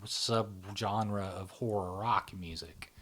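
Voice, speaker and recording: A man's voice: lowish voice, takes it slowly, a bit bright, slightly rough. A middle-aged man talking casually in an interview, rambling and often checking in with "right?" and "you know?". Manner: rambling, filler-heavy, keeps asking "right?" and "you know?". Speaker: psychology channel founder and host.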